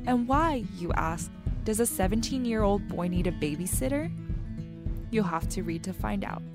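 Young female podcast narrator, confiding and playfully dramatic, medium register, clear music bed. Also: mid-range voice. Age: young adult. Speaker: podcast host. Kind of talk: scripted personal narration.

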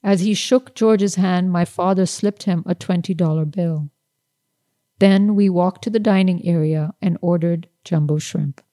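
A woman author reading her own literary short story aloud in a measured, unhurried way. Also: fairly low pitch, dark tone.

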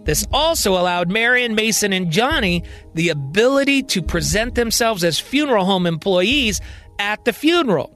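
A man's voice: high-pitched, expressive, moderate pace, fairly bright tone, faint music behind. A man narrating a scripted audio fiction serial as its storyteller.

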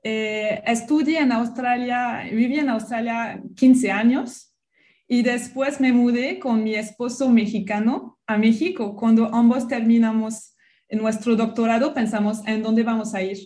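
A woman from France with a French accent, a research biologist being interviewed on the radio, friendly and quick to laugh. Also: a little high, steady tone, darkish tone. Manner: friendly, laughs easily, some restarts.

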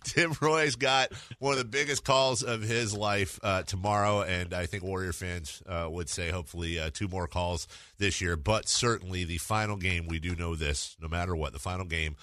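A man's voice: sits low, steady tone, normal speed, hardly any pauses, pushed and bright, dry recording, studio quality. A man hosting a sports radio show, putting conversational questions to a guest.

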